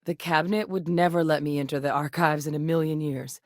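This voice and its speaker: An actress playing a serious, driven military scientist in a sci-fi video game, speaking in a measured, earnest, dryly wry manner. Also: female voice, sits low, fairly even intonation, a little mellow, no echo, clean studio sound, close to an everyday voice. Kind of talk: acted sci-fi game dialogue.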